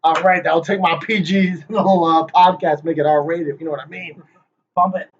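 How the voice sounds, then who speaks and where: A man with a high voice runs through a presentation in a joking, hyped-up way, teasing the people he names. The recording sounds dull and lo-fi. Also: fairly even intonation, moderate pace, a little hiss or hum. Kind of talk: rehearsing a playful conference talk.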